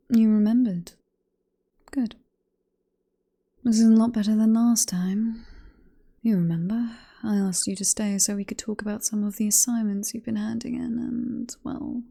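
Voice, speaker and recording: Vampire professor for ASMR roleplay, played by a woman: British, female, hushed breathy whisper, deeply mellow tone, possessive, teasing and coaxing. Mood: teasing, reassuring. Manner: coaxing, teasing, unhurried murmur. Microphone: close ASMR microphone.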